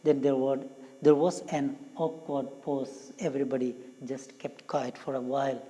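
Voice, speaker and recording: A woman reading a play aloud as an English teacher, deliberately repeating and rephrasing lines in simpler words. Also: very deep voice, fairly even intonation, dark tone. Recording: long hollow room reverb.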